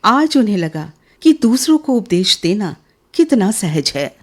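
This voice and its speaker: A woman's voice: mid-range voice, very animated, unhurried. A woman reading scripted literary narration for a Hindi radio drama.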